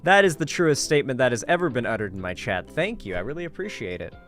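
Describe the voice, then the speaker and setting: A man hosting a live stream, chatting casually and informally with his viewers in an animated way. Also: mid-range voice, fast talker, faint music behind. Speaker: livestream host.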